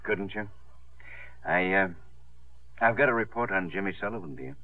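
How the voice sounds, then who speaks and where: Coming over a tinny vintage radio, a kindly father figure speaks in a low voice. His measured delivery rises and falls expressively, in 1950s radio-drama style. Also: lively intonation, no echo. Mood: grave, advising. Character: gentle, devoted older father, a musician.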